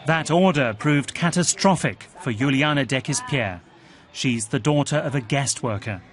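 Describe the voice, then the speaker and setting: A man narrating a television news report in a measured, scripted voice, also voicing translated quotes. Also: mid-range voice, a crowd in the background. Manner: measured, even reporting delivery.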